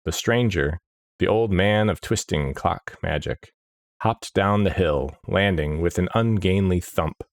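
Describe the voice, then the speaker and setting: A man narrating a dark fantasy story in a measured, grave manner. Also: deep voice, no room sound. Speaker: audiobook narrator.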